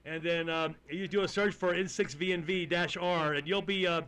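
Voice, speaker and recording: A man with a Texan accent running a ham radio net, folksy and halting, with many fillers. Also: high voice, steady tone, a bit bright, clear, resonant tone. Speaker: net control host.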